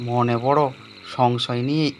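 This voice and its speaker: A man playing an anxious young man with a guilty past, telling and acting out an animated horror story in a dramatic, suspenseful manner. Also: medium pitch, a little slow, heavy background noise, close to an everyday voice. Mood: uneasy, suspenseful. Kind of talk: dramatized first-person horror story narration.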